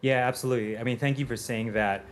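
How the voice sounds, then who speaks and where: A man's voice: mid-range voice, fairly even intonation, fast, a little hiss or hum. A man from the United States who composes for film, answering interview questions in a thoughtful way with plenty of fillers and restarts.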